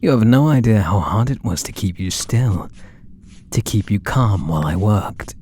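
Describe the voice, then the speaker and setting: A British man voicing an obsessed, possessive artist and menacingly tender lover in a breathy, theatrical ASMR roleplay, drifting into whispers. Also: lowish voice, animated, moderate pace, a little mellow, slight background noise, lightly acted. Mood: possessive, intimate. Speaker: audio roleplay voice actor.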